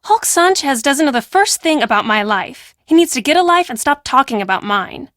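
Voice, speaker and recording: A woman playing a brash, defensive teenage girl who works as a high school hall monitor in a video game, with a sassy, dismissive and emphatic delivery. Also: big pitch swings, normal speed, fairly bright tone, studio quality. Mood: scornful. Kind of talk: acted video game dialogue.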